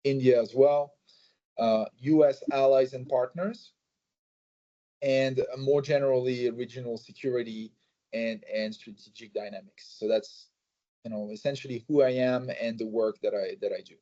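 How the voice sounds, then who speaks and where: A man answering interview questions in a measured way, with many fillers and restarts. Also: medium pitch, steady tone, dark tone. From France. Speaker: think tank president, guest. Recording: slightly muffled recording.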